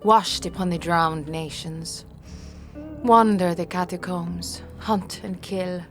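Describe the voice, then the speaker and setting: A woman playing a ravenous, menacing ghoul queen in a fantasy audio drama, speaking slowly in broken, repetitive phrases peppered with French. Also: fairly low pitch, fairly even intonation, soft background music. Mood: grim, boastful.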